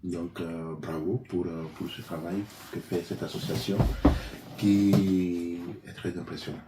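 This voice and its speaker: Low-voiced man from Benin, interview guest, halting answers, faint background hiss. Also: flat delivery. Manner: hesitant, many fillers and restarts. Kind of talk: conversational interview. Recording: echoey room, faint noise.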